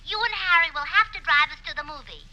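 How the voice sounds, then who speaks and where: An actress playing a scatterbrained, cheerful wife, sweetly literal and earnest, in 1940s radio sitcom style. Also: female voice, very high-pitched, quick pace, sharp tone, dull audio, a little hiss or hum, full character voice. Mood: chirpy. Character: scatterbrained cheerful wife, middle-aged woman.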